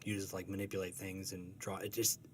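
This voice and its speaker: A man being interviewed as a guest, talking in a casual, halting, self-deprecating and joking way. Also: sits low, level tone. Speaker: guest, comic strip artist.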